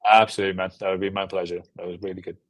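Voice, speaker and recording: A man in his thirties with a fairly low voice and a dry, close sound. He talks casually as a filmmaker being interviewed over a video call, and often doubles up words for emphasis. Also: flat delivery, very fast, fairly bright tone, dry recording. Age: 30s. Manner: repeats words and phrases for emphasis. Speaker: film director and producer, interview guest. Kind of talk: casual chat. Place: small furnished room at home, indoors. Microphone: video call with earbuds.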